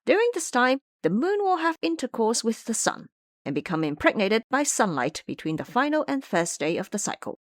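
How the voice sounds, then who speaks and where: Audio drama monkey disciple, male, played by a woman: clever, emphatic lecturer, swooping and animated. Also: on the high side, normal speed, dry recording, studio quality, pitched above the performer's own voice. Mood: earnest teaching. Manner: didactic, emphatic.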